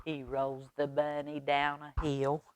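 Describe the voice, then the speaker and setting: A woman reading a children's story aloud expressively, with dramatic pauses and coaxing questions to young listeners. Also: low-pitched, flat delivery, darkish tone. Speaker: library storytime reader.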